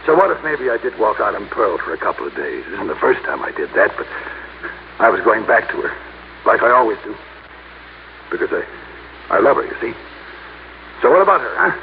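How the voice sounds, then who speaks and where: An American actor playing a defensive, stammering small-time crook and husband in a 1960s radio drama. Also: male voice, lowish voice, very animated, a little fast, long pauses, narrow, tinny audio. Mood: defensive, agitated. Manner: stammering, repeating words, agitated. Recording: old, band-limited, hissy radio recording.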